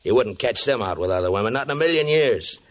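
An actor playing a brash, boastful, flirtatious private eye with slangy, cocksure talk in 1950s radio drama style. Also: male voice, mid-range voice, lively intonation, quick pace, close to an everyday voice. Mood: knowing, ominous. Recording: dull, muffled old radio recording.

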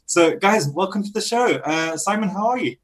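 A man hosting a podcast remotely from London, gushing and excitable, his voice slightly croaky after a coughing fit. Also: sits high, moderate pace. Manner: excitable, gushing, laughs often. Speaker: podcast host. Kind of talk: enthusiastic podcast interviewing. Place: home studio in London. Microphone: remote recording.

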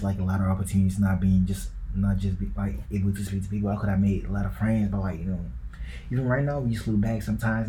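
A man's voice: fairly low pitch, warm tone, roomy sound, slight background noise, rough recording. A young man from Ghana with a Ghanaian accent, talking loosely on a podcast and filling his talk with likes and bros. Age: young adult. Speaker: podcast co-host. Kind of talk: casual podcast chat.

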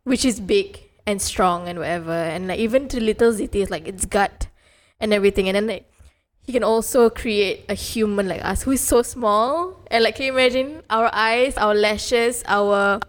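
Woman, podcast host, casual conversational style, reflective, searching for words, middle pitch. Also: moderate pace.

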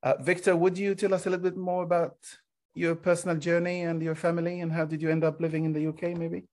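A man's voice: a little high, level tone, quick pace, a little mellow. Polite man moderating a panel. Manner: polite, formal introductions. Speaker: panel host.

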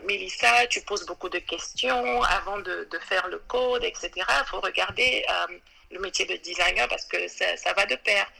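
A woman's voice: mid-range voice, gravelly, bright, forceful tone, husky, low-quality recording. A woman from Ivory Coast, a guest on an interview podcast, talking in a hesitant way with many fillers and restarts. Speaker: podcast guest, web designer. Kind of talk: casual interview. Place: New York.

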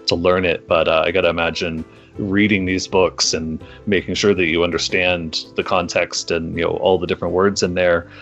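A man's voice: low voice, fairly bright tone, lo-fi sound. A man hosting a casual conversation, easygoing and chatty, with light laughs.

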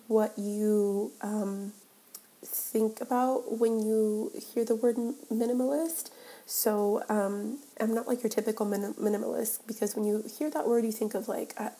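A woman's voice: medium pitch, mellow, poor audio. A young woman hosting a solo podcast, nasal and congested from a cold, talking earnestly with many fillers and restarts. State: stuffy from a cold. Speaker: podcast host. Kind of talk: solo casual podcast talk.

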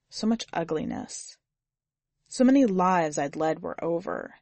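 Woman reading a short story, lowish voice, grave n measured, really dry recording. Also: darkish tone, no room sound. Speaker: podcast editor and story narrator. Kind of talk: scripted first-person fiction narration.

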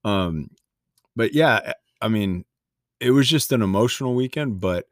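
A man co-hosting a faith podcast, talking in a relaxed, rambling way and joking now and then. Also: fairly low pitch, measured pace, no room sound, studio quality. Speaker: podcast co-host. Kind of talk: casual faith podcast chat.

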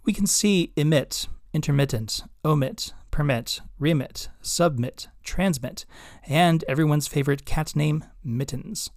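A man hosting a podcast, reading his own written essay aloud in a measured, scholarly way with careful enunciation. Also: on the high side, darkish tone, no echo, studio quality. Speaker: podcast host.

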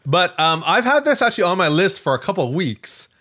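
A man's voice: high voice, big pitch swings, a little fast, pushed and bright. A man co-hosting a podcast in casual conversation, rambling as he goes and often doubling back to rephrase or repeat himself. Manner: rambling, frequent self-corrections and repeated phrases. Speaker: podcast co-host.

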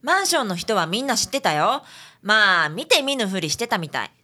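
A woman's voice: mid-range voice, sing-song, brisk, sharp tone. A woman playing a breezy, chatty detective's assistant with a Kansai accent in a radio drama. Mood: breezy, friendly. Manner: casual, familiar.

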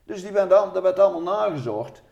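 A man's voice: high voice, expressive, fast talker, gravelly, a little mellow, dull audio. A man telling family wartime stories in Dutch in a casual, anecdotal way, as an interviewee. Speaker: interviewee recounting his mother's wartime stories. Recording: roomy, distant microphone.

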